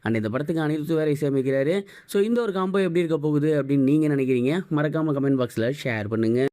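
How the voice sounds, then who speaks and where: A young man presenting a fast news recap for an online channel, brisk and mixing English words into his talk. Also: on the high side, dark tone, no echo, studio quality.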